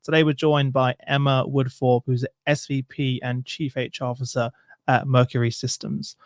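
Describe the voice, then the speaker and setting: A man's voice: medium pitch, level tone, moderate pace, dry recording. A young man hosting a podcast, friendly and casual, with a few fillers, on a studio mic. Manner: friendly, uses fillers and restarts. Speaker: podcast host. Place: room at home. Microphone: studio mic with headphones.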